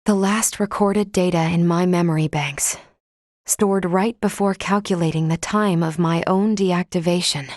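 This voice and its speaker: Video game android, female actress performing: lowish, dry close sound, polite, formal, measured, quietly caring elder-sister type. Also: fairly even intonation, dry recording, natural voice. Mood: reflective, moved. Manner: formal, measured, precise phrasing. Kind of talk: video game character acting.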